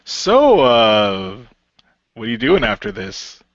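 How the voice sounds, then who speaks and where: A man's voice: lowish voice, sing-song, slow pace, dry recording, muffled recording. A man voicing a hapless, flirtatious guy in a role-playing radio play, stammering, wheedling and whiny. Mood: nervous flirting. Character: bumbling, hapless flirtatious man named David.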